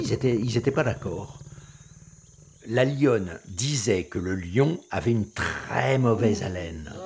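A man's voice: mid-range voice, noisy background. A man telling a folk tale playfully, with teasing false starts. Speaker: storyteller.

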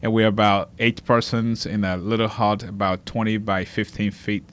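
A man from Liberia in his forties with a low voice, a guest telling his life story earnestly in a studio. He talks about faith as he speaks. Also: little pitch movement, moderate pace, a bit bright, slight background noise.

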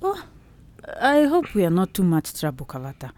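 An actress playing a polite, fussing pastor's wife in an audio drama. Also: female voice, on the low side, wildly swooping pitch, moderate pace, darkish tone, no room sound. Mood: gushing politeness. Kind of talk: acted audio fiction.

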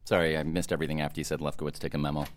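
A man's voice: rumbling low, level tone, racing, over a noisy crowd. An actor playing a quirky, self-absorbed male office underling with a dry, offbeat manner in a satirical audio drama. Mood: deadpan.

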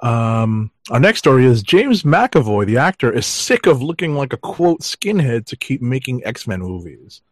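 Mid-pitched man hosting, dry sound. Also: big pitch swings, measured pace, few pauses, no echo. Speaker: podcast host. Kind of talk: casual comic book news chat.

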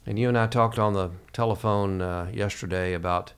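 A man hosting a reflective interview, speaking in a halting, measured way. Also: fairly low pitch, fairly even intonation, smooth. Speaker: podcast host.

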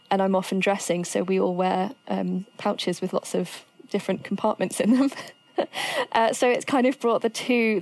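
A young woman answers interview questions casually, rambling and restarting her sentences often. Her intonation stays fairly flat and even throughout. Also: mid-range voice, dry recording. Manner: rambling, full of restarts and fillers. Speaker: theatre dresser and former student.

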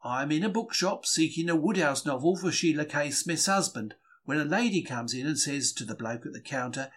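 A man reads diary entries aloud as a dramatic first-person account. His voice sits a little high and moves in lively swings, with an expressive, wry storytelling manner. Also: brisk. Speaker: diary reader.

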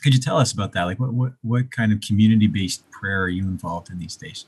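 A man who is a pastor hosting a podcast and asking a guest questions in a friendly, measured way. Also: fairly low pitch, darkish tone, husky. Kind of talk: conversational podcast interview.

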